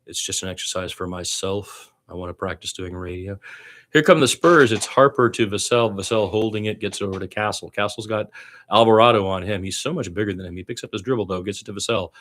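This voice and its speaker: A man's voice: on the low side, fast talker, fairly bright tone. A man doing amateur radio-style basketball play-by-play in short clipped phrases, with opinionated asides as a partisan fan.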